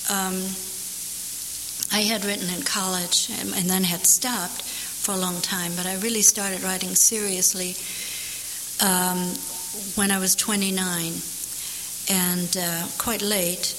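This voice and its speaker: A woman poet whose first language is German, answering audience questions and reciting her own poem from memory, thoughtful and unhurried with dry humor. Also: medium pitch, little pitch movement. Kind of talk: Q&A after a poetry reading, reciting from memory. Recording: hissy recording in a big echoing hall.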